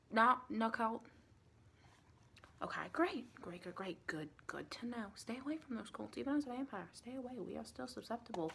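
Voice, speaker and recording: A woman acts out a sweet, flighty vampire recruiter in an ASMR roleplay. She speaks softly at a middle pitch, drifting in and out of whispers and rambling as she gently interviews a newcomer. Faint background noise sits behind the voice. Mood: gently cautioning. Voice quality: soft, often drops into breathy whispering. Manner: rambling, flighty, sprinkles in pet names like sugar and sweetie. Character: chatty, sweet, scatterbrained female vampire recruiter screening a newcomer. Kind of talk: ASMR roleplay, softly spoken interview with pauses for unheard replies.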